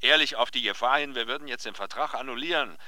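An actor playing a pompous, excitable male publishing editor in a radio play, theatrical and condescending. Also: medium pitch, fast, no echo. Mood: impatient. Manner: theatrical, condescending, swooping emphasis. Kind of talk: German radio play dialogue.

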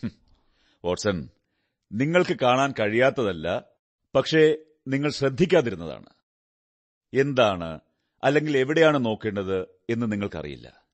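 Radio drama detective: observant, condescending, deliberate and instructive, with animated, swooping intonation. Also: male voice, medium pitch. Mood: musing, instructive. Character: brilliant, self-assured male detective, observant and condescending.

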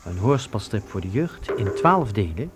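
A man announcing a children's radio serial in a measured, dramatic, scripted style. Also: on the low side, big pitch swings. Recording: very loud noise and effects behind.

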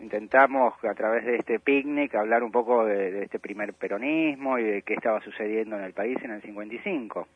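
A man's voice: lowish voice, brisk, few pauses, slightly rough. Argentine guy being interviewed over the phone, tinny line, kinda feels for his words and repeats himself. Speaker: theatre director, interview guest. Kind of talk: phone interview, explaining conversationally. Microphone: telephone. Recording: thin, narrow-band telephone line.

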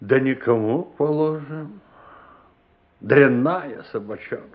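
Slow, grumbling household cook. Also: male voice, medium pitch, expressive. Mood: dismissive, evasive. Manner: offhand, grumbling, drawling. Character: gruff, dismissive male household cook, plain-spoken servant. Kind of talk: radio play acting. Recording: muffled, narrow-band radio play recording.

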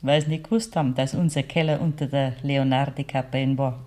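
A woman's voice: a bass voice, fairly even intonation, a little fast, a little mellow. An actress playing a stoic, middle-aged peasant mother in a German radio play, earthy and dry, with a Bavarian accent. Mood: wry reminiscing.

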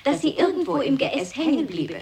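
A woman with a high, very expressive voice recites experimental verse and speaks straight to the listener. She is recorded in a radio studio. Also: normal speed, a little hiss or hum. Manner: addresses the listener directly as "you". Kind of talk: scripted poetic recitation.

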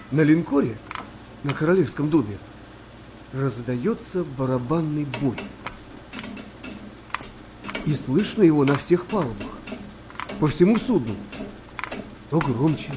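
A man playing a skeptical, well-spoken wartime navy sailor telling tales with measured drama in a Russian radio play. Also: fairly high pitch, noisy background. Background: music behind the voice.

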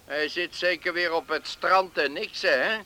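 A man's voice: a little high, measured pace, pushed and bright. An actor playing a nosy, disapproving male farmer neighbour, prying and critical, in a Dutch radio play.

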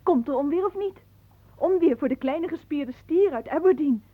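An actress playing a cheeky, eager, defiant young niece in a radio play. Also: female voice, high-pitched, lively intonation, normal speed. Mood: teasing. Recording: muffled phone-like old radio recording.

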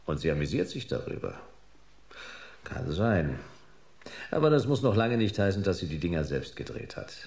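An actor playing a laconic, wry hard-boiled private detective in a German sci-fi radio crime drama, speaking in dry, clipped sentences. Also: male voice, low-pitched, sing-song, moderate pace, pauses often, darkish tone, breathy edge, heavily acted. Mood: skeptical, calm.

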